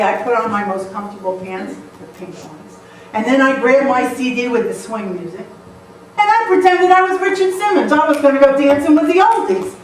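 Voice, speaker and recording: Woman, high bright voice, storyteller on stage, picked up from far off in an echoey hall. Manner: lively, playful, often laughing. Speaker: motivational speaker. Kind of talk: humorous storytelling talk to a live audience. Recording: echoey hall, mic far off, faint noise.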